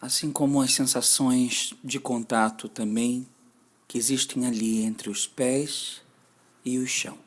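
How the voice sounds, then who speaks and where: A man's voice: medium pitch, steady tone. Brazilian man leading a guided meditation. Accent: Brazilian Portuguese. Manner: gentle, deliberate instruction with long pauses. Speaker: meditation instructor.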